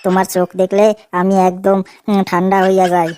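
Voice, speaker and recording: A woman's voice: medium pitch, completely flat delivery, fairly quick, dark tone, slight background noise, lightly acted. A woman voicing a young boyfriend in a Bengali comedy cartoon, placating yet cheeky, a sly wisecracker.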